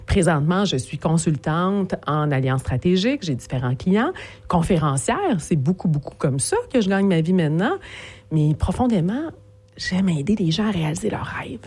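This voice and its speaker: A Québécois woman whose first language is Portuguese, a guest in a podcast interview, quick and lively as she tells her stories and laughing often. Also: on the low side, slightly rough. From Montreal area, Quebec. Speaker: guest, consultant and speaker.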